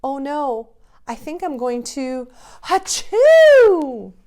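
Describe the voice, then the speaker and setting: A woman's voice: sits high, huge pitch swings, very slow, darkish tone, voice pushed up, heavily acted. A woman voicing a kindly, jolly old Father Christmas in a storybook, with a hearty manner. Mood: anxious, hushed.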